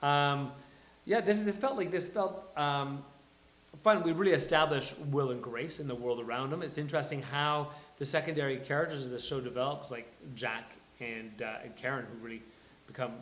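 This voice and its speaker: A man presenting a live table read in a halting, informal way, as in casual chat. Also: medium pitch, a bit bright. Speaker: table read presenter reading stage directions. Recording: echoey room.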